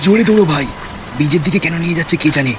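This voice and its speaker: A man's voice: on the high side, racing, pitched above the performer's own voice, natural voice. A man voicing a frightened young village man calling urgently to his friends in a horror cartoon. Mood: urgent, pleading. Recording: rain and storm noise behind.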